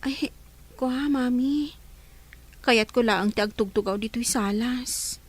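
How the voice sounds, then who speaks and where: A woman's voice: on the high side, slow pace. A woman with a Filipino accent playing a brooding, conscience-stricken young daughter in an audio drama. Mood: subdued. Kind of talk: Ilocano audio drama acting.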